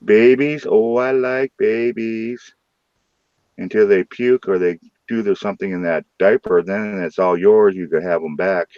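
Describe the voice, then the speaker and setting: Deep low man, St. Louis accent, rowdy swearing livestream host, dry muffled sound. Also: a little slow, no echo. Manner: rowdy, joking, swears freely. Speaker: live stream host. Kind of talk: casual live stream chat. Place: his own room at night.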